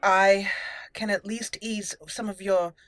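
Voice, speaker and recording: Female let's play host, mid-pitched, roleplay dialogue mixed with commentary, faint music behind. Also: fairly even intonation, takes it slowly. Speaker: gameplay host. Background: game music.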